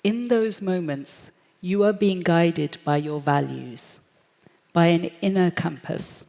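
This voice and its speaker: A woman's voice: lowish voice, creaky, mellow. A middle-aged British woman giving a reflective stage talk in a large auditorium. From Britain. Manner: measured, reflective storytelling. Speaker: speaker. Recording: echoey hall.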